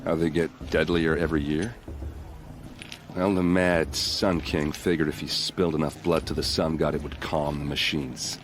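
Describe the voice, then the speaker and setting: A friendly video game warrior with a deep voice. He is plain-spoken and wry, proud of his people and given to folksy boasting. Faint ambient noise sits behind him. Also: steady tone, normal speed. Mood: wry, curious. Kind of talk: game cutscene dialogue acting.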